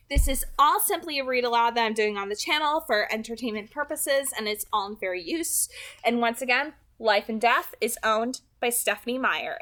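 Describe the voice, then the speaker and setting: A woman's voice: a little high, sharp tone. A woman reading a novel aloud as host, in the style of an amateur audiobook.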